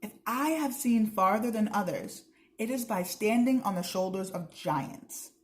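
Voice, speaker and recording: A woman's voice: mid-range voice, lively intonation, unhurried, natural voice. A woman voicing a wise, teasing male mentor who instructs children in a storybook with leading questions. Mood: knowing.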